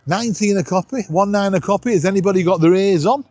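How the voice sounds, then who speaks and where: A middle-aged man with a husky voice, chatting casually and excitably as he hosts a vlog. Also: high-pitched, fast, background noise. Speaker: vlog host. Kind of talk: casual chat to camera while testing a radio.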